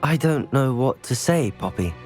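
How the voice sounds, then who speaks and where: A man voices a young wizard hero in a fantasy game. He is gentle and courteous, with a medium-pitched, expressive voice. Music plays faintly behind him. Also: moderate pace, close to an everyday voice. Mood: sympathetic, curious. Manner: gentle, sympathetic, polite. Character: kind, supportive teenage wizard boy, game hero. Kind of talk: video game dialogue acting.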